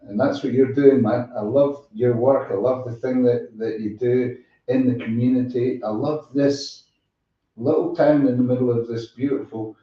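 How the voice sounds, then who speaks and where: A man hosting a music show live, chatting casually in a rambling, halting way. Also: medium pitch, steady tone. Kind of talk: casual live sign-off chat. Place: room with echo, away from the mic. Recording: roomy, distant, dull-sounding microphone.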